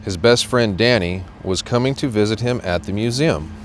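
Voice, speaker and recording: A man's voice: on the low side, moderate pace, slight background noise. A middle-aged American man reading a children's storybook aloud as a guest reader. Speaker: guest reader, police officer.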